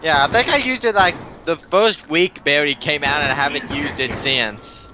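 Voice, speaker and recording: A man's voice: a little high, moderate pace, sharp tone, slight background noise. A young man playing a co-op zombie game with a friend, laid-back, giving short replies and laughing easily. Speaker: co-op gaming partner. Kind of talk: casual co-op gameplay chat.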